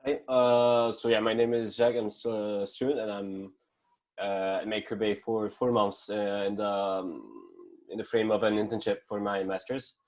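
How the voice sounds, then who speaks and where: A man's voice: on the low side, flat delivery, moderate pace, darkish tone. A young man, a master's student introducing himself plainly with fillers. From France. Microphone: video call.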